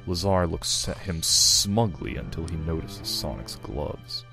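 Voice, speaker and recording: A man's voice: low voice, animated, measured pace. A man reading scripted narration aloud as narrator of a fan fiction story, with casual asides. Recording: loud background music. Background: music.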